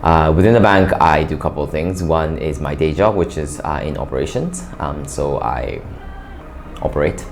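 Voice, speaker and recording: A young man from Japan answers interview questions casually, pausing often with fillers and restarts. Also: very deep voice, slight background noise. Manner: hesitant, many fillers and restarts. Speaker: interview guest, bank operations worker.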